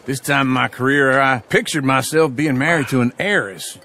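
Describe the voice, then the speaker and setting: An actor playing a jovial, rambling older rogue of the Old West in a game, folksy and theatrical. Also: male voice, mid-range voice, moderate pace, pushed and bright. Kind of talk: video game cutscene acting. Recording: faint noise and outdoor ambience behind.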